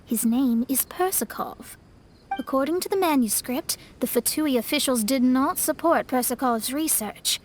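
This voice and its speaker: A woman as an earnest, high-voiced young investigator. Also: normal speed, slight background noise, close to an everyday voice. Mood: earnest, explanatory. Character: earnest young female investigator explaining clues. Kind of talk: video game English dub acting.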